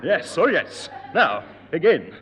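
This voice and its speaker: A man's voice: on the high side, very animated, slow pace, fairly bright tone, exaggerated voice. An actor playing a prim, exasperated piano teacher who scolds his pupil. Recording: dull echoey old radio recording.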